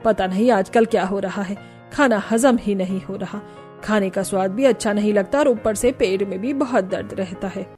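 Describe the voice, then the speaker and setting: A woman's voice: mid-range voice, moderate pace, darkish tone, soft background music, natural voice. A woman voicing a kindly but firm middle-aged mother-in-law in a family cartoon. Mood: concerned. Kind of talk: acted dialogue in a moral family cartoon.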